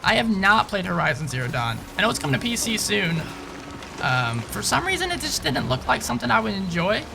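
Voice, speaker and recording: A man's voice: sits high, big pitch swings, fairly quick, vocal fry, background noise. A man streaming a video game live, chatting casually with viewers and swearing as he plays. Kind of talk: live gameplay commentary. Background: game music.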